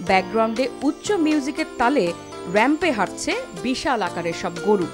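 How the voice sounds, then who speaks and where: A woman reporter with a Bangladeshi accent narrates a television feature from a script. Her voice sits a little high, and loud music plays behind it. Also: expressive, brisk.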